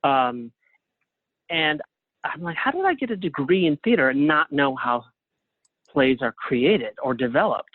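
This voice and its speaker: A man's voice: on the high side, animated, a little slow, long pauses, no echo. A middle-aged man talking casually as an interview guest, a playwright with a self-deprecating, anecdotal way of talking. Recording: narrow phone-like line.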